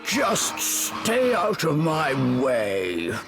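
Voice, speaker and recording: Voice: mid-range voice, wildly swooping pitch, drawn-out words, music in the background, exaggerated voice. A storybook narrator voicing a furious small demon trapped in a cuddly toy body, speaking in a harsh, scornful manner. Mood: scornful anger.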